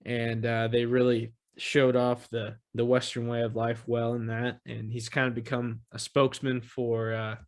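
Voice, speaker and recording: A man hosting a laid-back sports podcast. He rambles easily, with plenty of "you know" and "uh" between his thoughts. His voice sits at an ordinary mid pitch. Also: moderate pace, hardly any pauses. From Texas. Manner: relaxed, rambling, heavy on fillers. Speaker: podcast host. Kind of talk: casual sports chat.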